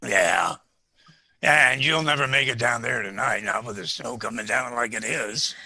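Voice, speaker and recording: A neighborly country storekeeper type in a radio comedy. His voice is gravelly and forceful, recorded dry and close, with a helpful, folksy way of talking. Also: mid-range voice, measured pace, no room sound, heavily acted. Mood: friendly. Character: hearty, helpful country man at a mountain store. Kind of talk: radio comedy-drama acting.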